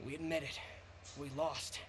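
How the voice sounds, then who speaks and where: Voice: mid-range voice, little pitch movement, moderate pace, noisy background, lightly acted. An actor voicing a resentful rival gang follower in a video game, blunt and grudging in delivery. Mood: bitter. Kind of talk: English video game voice acting.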